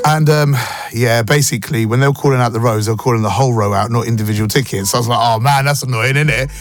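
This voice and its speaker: A man hosting a morning radio show, telling jokey stories in a casual, slangy, excitable way, his voice a little croaky. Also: mid-range voice. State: slightly croaky voice. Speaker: radio breakfast show host. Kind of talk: casual chatty storytelling. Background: background music.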